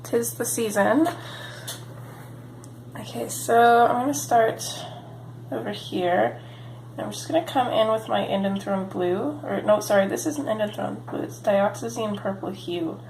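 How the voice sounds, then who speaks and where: A sniffly woman with a cold chats her way through a coloring session, rambling and relaxed with plenty of ums. Her voice sits at an ordinary middle pitch. It is caught in an echoey home room with a faint hiss behind it. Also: measured pace. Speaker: coloring channel host. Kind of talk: casual chatty coloring tutorial.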